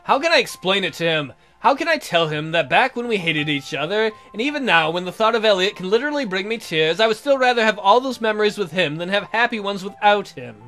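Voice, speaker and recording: A man's voice: high-pitched, lively intonation, quick pace, hardly any pauses, bright, forceful tone, faint music behind. A man voicing a lovesick, miserable young woman who narrates her romance melodramatically in a visual novel. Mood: wistful, devoted. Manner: melodramatic, pining.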